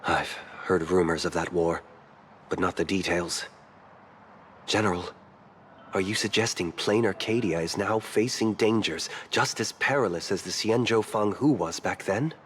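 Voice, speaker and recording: A man voice acting a polite, probing gentleman in a game cutscene, with measured, deliberate and formal speech. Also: low voice, slight background noise. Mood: intrigued, serious. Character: polite, probing, soft-mannered gentleman, former ruler.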